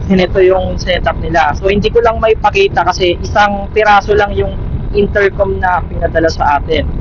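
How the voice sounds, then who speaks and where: A man with a Filipino accent giving a casual vlog-style product demo, speaking informally into a helmet intercom mic. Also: fairly high pitch. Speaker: motovlogger and product reviewer. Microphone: Bluetooth intercom inside motorcycle helmet. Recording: dull helmet intercom mic, loud noise.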